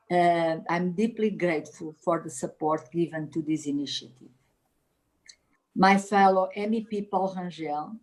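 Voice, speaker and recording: A woman with a Portuguese accent opens an online event in a measured, formal tone, with a slightly low voice. She reads her thanks carefully and stumbles now and then. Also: darkish tone, poor audio. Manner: measured, formal delivery with occasional slips. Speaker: event host. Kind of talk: formal opening speech.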